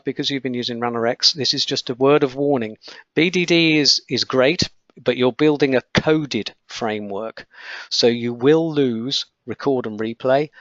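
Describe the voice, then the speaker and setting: Croaky-voiced man teaching software testing. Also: mid-range voice, no echo, dull audio. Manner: explanatory, with frequent okays and ums. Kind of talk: live webinar screen-share tutorial.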